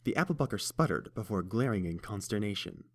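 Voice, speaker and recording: Deep-voiced male story narrator. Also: fairly quick. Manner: steady, even storytelling. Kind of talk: fan audiobook narration.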